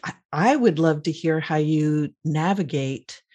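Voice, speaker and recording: A middle-aged woman with a gravelly morning voice, co-hosting a casual podcast chat in a warm, reflective way. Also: low voice, measured pace, muffled recording. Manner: warm, reflective, asks questions. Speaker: podcast co-host.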